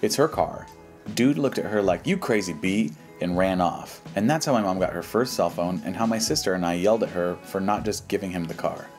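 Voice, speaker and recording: A man's voice: low-pitched, brisk, a little mellow. An American man doing casual reaction commentary, reading aloud and responding in a slangy, mocking, dismissive way. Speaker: YouTube commentary host. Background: background music.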